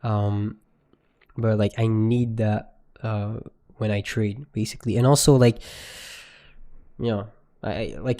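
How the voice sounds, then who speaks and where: A young man with a Quebec French accent, his first language French, talking loosely and full of slang as he answers viewers. His voice sits a little low and sounds mellow and soft-edged. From Quebec, Canada. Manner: loose, slangy, laughs easily. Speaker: day trader live streamer. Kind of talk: casual live stream chat, answering viewers.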